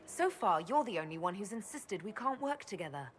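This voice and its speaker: A woman's voice: medium pitch, lively intonation, a little fast, in a large hall, a little hiss or hum, natural voice. A woman playing a resolute, guarded elf leader in a fantasy video game, firm and matter-of-fact. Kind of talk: dramatic video game dialogue.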